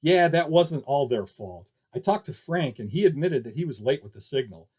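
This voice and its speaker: A man's voice: on the high side, animated, moderate pace, darkish tone, breathy edge. Man reading as an easygoing flying circus boss. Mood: placating. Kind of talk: audiobook character dialogue.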